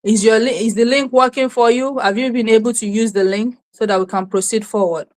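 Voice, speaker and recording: A woman's voice: fairly high pitch, fairly even intonation, brisk, a little mellow. A Nigerian woman whose first language is Yoruba, hosting a motivational livestream, repeating key words and asking her audience rhetorical questions. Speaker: livestream host and self-help coach. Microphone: streaming setup, talking to camera.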